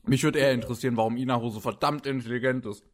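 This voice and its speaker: A man co-hosting a casual anime podcast, chatty and quick to laugh. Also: mid-range voice, steady tone. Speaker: podcast co-host. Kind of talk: casual chat about anime.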